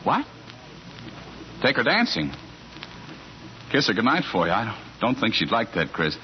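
An actor playing a smooth-talking, conniving newspaperman in a 1940s radio comedy drama. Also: on the low side, huge pitch swings, moderate pace, slight background noise. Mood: breezy, improvising. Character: smooth-talking conniving foreign correspondent, genial liar. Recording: dull 1940s radio broadcast.

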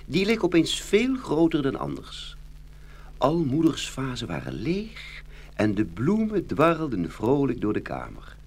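A man narrating a scripted children's fairy tale in an expressive, dramatic storytelling manner. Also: medium pitch, a little slow, husky.

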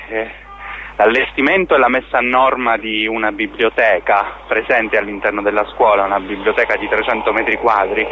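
A man speaks at a middle pitch as a guest in a radio interview. He explains things off the cuff, with frequent hesitations as he searches for words. The sound is dull and lo-fi. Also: pushed and bright. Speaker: theatre company member being interviewed.

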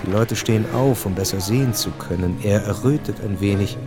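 An actor as the sober, literary narrator of a historical radio drama, telling it in a measured way. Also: male voice, fairly low pitch, over music, close to an everyday voice.

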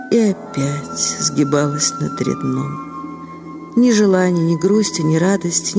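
High-pitched man reading a literary story. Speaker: narrator. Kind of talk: literary audiobook narration. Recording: music behind the voice. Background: music.

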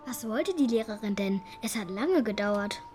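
A female actor as a proud, cheeky schoolgirl in a radio play. The voice is high, direct and childlike, with faint music in the background. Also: expressive, moderate pace, lightly acted. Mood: cheeky, insistent. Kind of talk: naturalistic radio drama dialogue.

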